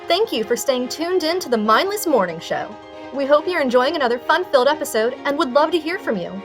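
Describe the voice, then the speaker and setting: A woman's voice: high-pitched, sing-song, brisk. A woman reading a scripted promotional announcement as a show's announcer. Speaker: show announcer. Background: music.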